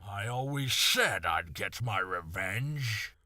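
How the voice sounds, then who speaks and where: Man playing a slow, bitter janitor. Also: fairly low pitch, animated, pushed and bright, no echo, clean studio sound, heavily acted. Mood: vengeful. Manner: dramatic, brooding. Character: bitter immigrant janitor, vengeful survivor of a village massacre. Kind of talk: comic audio drama acting.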